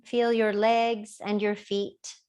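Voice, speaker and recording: Female meditation teacher, mid-range voice, very slow and soothing, leaving long pauses between gentle instructions. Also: medium pitch, level tone. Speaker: yoga and body awareness teacher. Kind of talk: guided body scan meditation.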